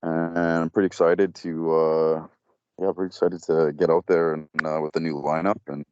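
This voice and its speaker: A man's voice: flat delivery, moderate pace, darkish tone, highs cut off, slight background noise. A young man, a metal band vocalist, giving casual interview answers with lots of fillers and restarts. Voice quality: low voice. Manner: rambling, many fillers and restarts.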